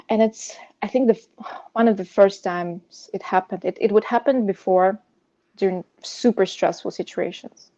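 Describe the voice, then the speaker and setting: A woman from Russia, a native Russian speaker, telling a personal story as an interview guest in a halting, searching way. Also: mid-range voice, fairly even intonation, a little mellow, highs cut off. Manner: hesitant, halting, frequent "you know". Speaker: podcast guest sharing personal insomnia story; pianist.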